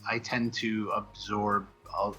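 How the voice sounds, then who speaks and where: A man answering interview questions in a casual, halting, self-deprecating way, thinking out loud as he rambles along. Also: fairly low pitch, little pitch movement, soft background music. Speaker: comic book writer being interviewed.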